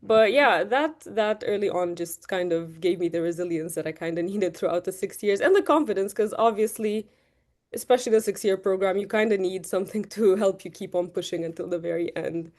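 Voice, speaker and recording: A woman's voice: medium pitch, fairly quick, darkish tone. A young woman from Romania, native Romanian speaker, chatting as a podcast guest with frequent "like" fillers. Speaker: guest, medical resident. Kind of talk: casual interview answers.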